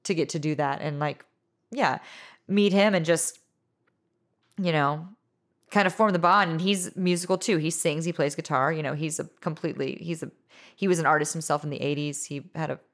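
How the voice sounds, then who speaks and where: Woman in her thirties, low voice, deep-pitched, rambling casual talk, musician guest. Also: moderate pace. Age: 30s. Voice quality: low singing voice. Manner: rambling, many fillers like "you know" and "like". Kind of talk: casual interview chat.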